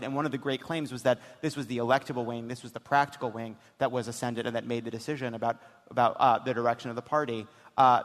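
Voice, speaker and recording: A man hosting a live political comedy talk show, speaking emphatically with halting, restarted phrases. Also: medium pitch. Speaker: host. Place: indoor stage before a live audience. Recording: echoey hall, live stage.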